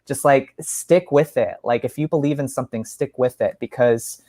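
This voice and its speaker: Very deep-voiced woman host, effusive. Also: moderate pace, dark tone, no room sound. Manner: effusive, repetitive, encouraging. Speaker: podcast host and story selector. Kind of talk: casual conversational praise and commentary.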